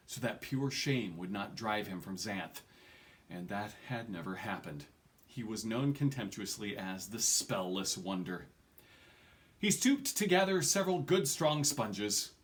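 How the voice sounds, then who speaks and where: A middle-aged man reading a fantasy novel aloud at home, expressively, stressing key words. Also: fairly low pitch. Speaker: amateur book reader. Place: small furnished room at home.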